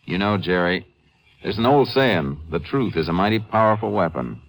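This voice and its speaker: An American man playing a calm, methodical Texas Ranger in a 1950s radio western, laconic, firm and matter-of-fact. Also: sits low, normal speed. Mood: firm, probing. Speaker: lead actor in a radio drama. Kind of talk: 1950s radio western crime acting with first-person narration. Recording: vintage 1950s radio recording.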